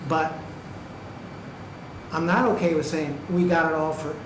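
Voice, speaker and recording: A man's voice: on the high side, little pitch movement, unhurried. A man giving public comment at a county meeting, pressing his points emphatically and checking in with "okay" and "right". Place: county government meeting room. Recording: echoey hall with background noise.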